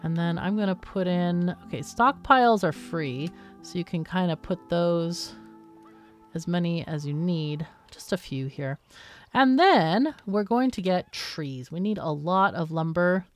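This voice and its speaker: Woman doing a chill let's play, kinda low voice, explains as she goes, faint music behind her. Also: animated, measured pace. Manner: explanatory, thinking aloud. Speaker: gaming video host. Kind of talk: casual let's-play commentary.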